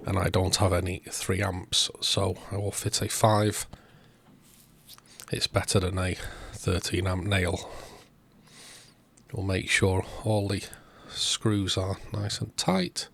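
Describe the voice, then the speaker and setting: A man hosting a repair video, talking through the work step by step in short, unhurried phrases. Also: sits low, little pitch movement, gravelly, fairly bright tone, slightly rough. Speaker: host of electronics repair videos. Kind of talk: narrated repair walkthrough.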